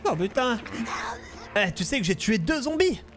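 A man streaming an improvised game roleplay, talking in an excitable, theatrical way. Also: extremely high pitch, normal speed. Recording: noisy game sound behind voice.

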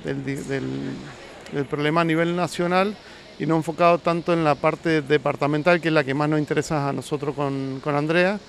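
Higher-pitched Argentine man, interviewed outside. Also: gravelly. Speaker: city councillor being interviewed. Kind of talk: spontaneous interview answer. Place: outdoors by a building. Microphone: handheld reporter microphone. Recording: outdoor street noise with vehicles.

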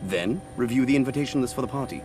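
A man's voice: mid-range voice, animated, fast talker, a little mellow, slight background noise, natural voice. A man playing a devoted, earnest butler in a video game, formal, deferential and dramatic. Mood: formal, businesslike.

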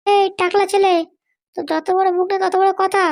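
A woman voicing a bossy, hot-tempered mother in a comic cartoon, with sharp scolding talk. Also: very high voice, monotone, moderate pace, vocal fry.

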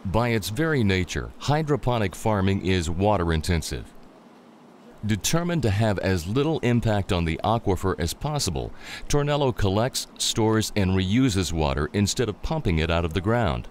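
A man's voice: lowish voice, big pitch swings, normal speed, a little hiss or hum. A man reading scripted promotional documentary narration as a narrator.

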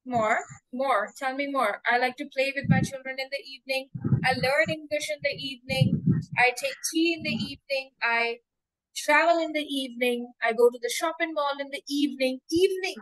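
A young adult woman acting as an English test examiner, prompting a candidate slowly and repeating model answers over a video call. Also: high voice, a bit bright, poor audio. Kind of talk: mock speaking test, coaching.

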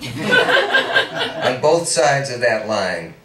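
A man's voice: medium pitch, huge pitch swings, very slow, heavy background noise, pitched below the performer's own voice, exaggerated voice. A man voicing a besotted young suitor, reading a story aloud in a teasing, dreamy manner. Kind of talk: read-aloud story dialogue. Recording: echoey room, mic at a distance.

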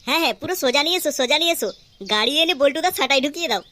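Woman voicing a squeaky, fast cheeky village youngster. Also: animated, bright, forceful tone, slight background noise. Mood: eager. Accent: West Bengali. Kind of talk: amateur comic cartoon dub.

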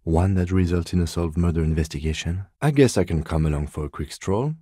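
An actor playing a composed middle-aged police detective in a video game, speaking in a measured, formal, dryly polite way. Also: male voice, low-pitched, warm tone, breathy edge, dry recording, studio quality. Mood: calm, formal. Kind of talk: dramatic game dialogue, separate voice lines.

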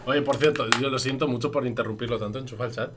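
Young Spanish streamer chatting. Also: male voice, medium pitch, fast talker, background noise. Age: young adult. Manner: lively, jokey. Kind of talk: casual reaction chat. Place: streaming room.

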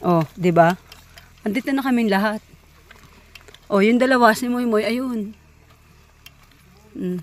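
A woman's voice: on the high side, measured pace, pauses often. A woman chatting casually to her viewers while walking a dirt road outdoors, filming on a handheld phone, a little out of breath. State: a bit out of breath. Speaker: vlogger. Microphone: phone held while walking.

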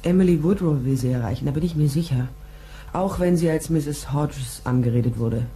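Female private eye, radio play narration, terse, matter-of-fact, mellow low-lit tone, light hum. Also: normal speed. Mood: urgent. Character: tough, impulsive female private detective.

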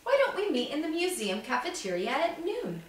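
A woman's voice: sits high, very animated, a little fast, pitched above the performer's own voice. A woman voicing a good-natured young male student assistant in a storybook, friendly and easygoing. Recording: echoey room, distant mic, background noise.

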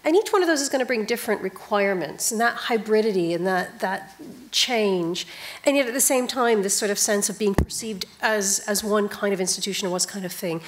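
A woman moderating a panel in a large auditorium, posing a long question in a measured way. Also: medium pitch, slight background noise. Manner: measured, frequent affirming mms.

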